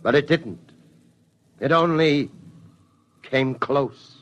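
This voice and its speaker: A man's voice: mid-range voice, very slow. An actor playing a solemn father reciting a doomsday legend with portentous drama, in a 1950s radio play. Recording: muffled vintage 1950s radio recording.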